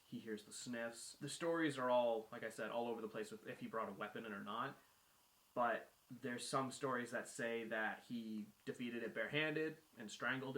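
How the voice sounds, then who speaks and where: A man's voice: mid-range voice, fairly bright tone. A man casually retelling a myth as a guest storyteller, with colloquial phrasing and acted-out dialogue. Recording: roomy echo.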